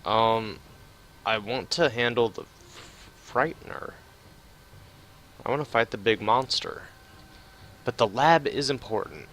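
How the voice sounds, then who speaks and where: A man's voice: medium pitch, slow pace, pauses often, a bit bright, a little hiss or hum. A man hosting a let's play, giving casual gameplay commentary.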